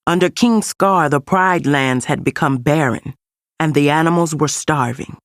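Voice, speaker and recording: A woman reading a scripted children's read-along story as an expressive storyteller. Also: low voice, measured pace, no room sound, clean studio sound. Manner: expressive storytelling with character voices. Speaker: storybook narrator.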